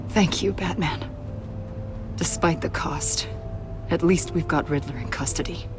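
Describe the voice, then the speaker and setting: A woman playing an earnest, remorseful female agent in a video game, measured and sombre. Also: low voice, over music. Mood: relieved, weary.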